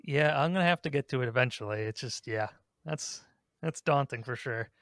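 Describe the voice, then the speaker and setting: A man of average pitch chats casually as a podcast co-host. He gives his opinions in an informal way, recorded dry with no room sound. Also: brisk, a bit bright, no echo. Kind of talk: casual chat, giving opinions.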